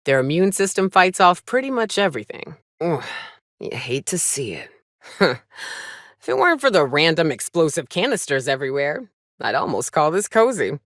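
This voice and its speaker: A woman voicing a gruff, tough but caring frontier monster hunter in a video game, with wry, folksy, blunt delivery. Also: low-pitched, no room sound, studio quality. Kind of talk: video game character dialogue.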